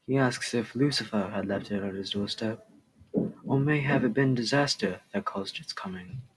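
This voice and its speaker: With faint noise behind him, a young man reads a literary retelling aloud. His voice is mid-pitched, and he delivers the script as a student narrator. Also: normal speed, rough recording. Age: teens or young adult. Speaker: student reader. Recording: clear background noise.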